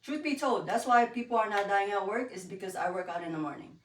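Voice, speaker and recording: A woman from the Philippines and Guam talks as a podcast guest in a candid, informal way. Her voice sits at a middle pitch. The recording is roomy and echoey, picked up at some distance from the mic. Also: lively intonation, fast. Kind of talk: casual personal conversation.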